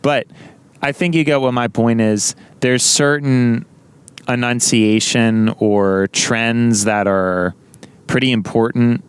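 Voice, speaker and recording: A young man with a naturally loud voice, rambling casually to the camera with many restarts and self-corrections. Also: medium pitch, clean tone. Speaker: vlogger.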